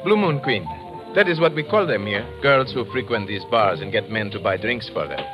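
An actor playing a polite, precise Panamanian police lieutenant in an old radio drama. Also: male voice, on the low side, lively intonation, moderate pace, lightly acted. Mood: polite, formal. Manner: formal, deferential, precise. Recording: muffled old radio recording, loud music.

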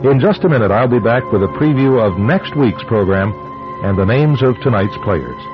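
A man's voice: medium pitch, animated, normal speed. A man reading scripted credits and sign-off as a radio drama producer and announcer. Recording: muffled old radio broadcast. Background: loud music.

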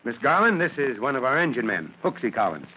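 A man's voice: mid-range voice, sing-song, quick pace, natural voice. An actor playing a genial, authoritative fire chief in an old-time radio drama, courteous to his guest. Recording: muffled, noisy old radio recording.

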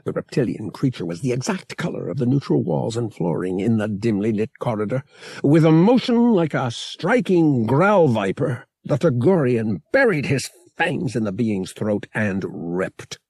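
A man's voice: medium pitch, big pitch swings, moderate pace, vocal fry, darkish tone, husky, dry recording, clean studio sound. A man narrating action fiction as a dramatic scripted audiobook read.

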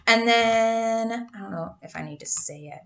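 A woman's voice: fairly high pitch, moderate pace, vocal fry. A woman in her thirties co-hosting a podcast, telling a true crime story in a casual, chatty way, laughing often and stumbling over names.

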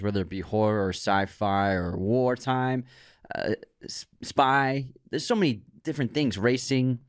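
A man's voice: mid-range voice, no room sound. Man chatting casually, dry close sound. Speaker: podcast co-host. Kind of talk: casual conversational discussion.

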